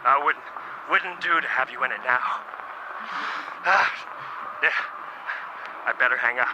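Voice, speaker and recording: An actor playing a frightened, exhausted man besieged at home, speaking haltingly and resigned to his doom in a radio drama. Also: mid-range voice, highs cut off, natural voice. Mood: fearful. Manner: halting, faltering speech. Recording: heard over phone, very heavy noise.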